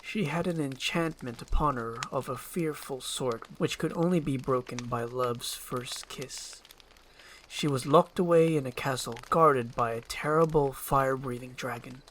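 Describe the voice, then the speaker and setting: A man's voice: mid-range voice, a little slow, a bit bright, slight background noise, poor audio. A man reading a screenplay aloud as storybook narration.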